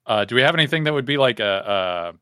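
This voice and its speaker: A man playing a tabletop roleplaying game, talking casually and wryly as he thinks his plans out loud. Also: mid-range voice, animated, fast, smooth, no room sound, clean studio sound. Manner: wry, talky, thinks aloud.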